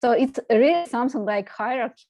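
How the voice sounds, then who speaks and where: A woman researcher answering a question on a video call, explaining in a halting way with fillers. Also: fairly high pitch, expressive, darkish tone. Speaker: panelist researcher. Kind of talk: academic Q&A answer.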